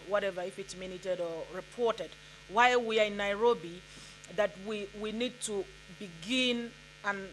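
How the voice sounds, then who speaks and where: A woman's voice: medium pitch, fairly bright tone, slight background noise, rough recording. A woman from Nigeria whose first language is Igbo, speaking earnestly from the floor at a conference, with frequent ums and restarts. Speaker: audience member. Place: conference hall.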